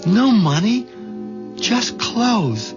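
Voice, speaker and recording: A man's voice: high voice, very animated, very slow, full character voice. An actor playing a meek, henpecked middle-aged office man in a 1970s radio drama, hesitant and stammering. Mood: shaken. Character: meek, henpecked married office man, flustered natural-born loser. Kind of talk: 1970s radio drama acting with first-person narration. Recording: dull vintage radio recording, music behind.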